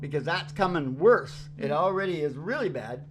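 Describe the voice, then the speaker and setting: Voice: mid-range voice, lively intonation, moderate pace. A host of a music rehearsal show chatting casually, telling rambling, salty, folksy stories between songs. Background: acoustic guitar.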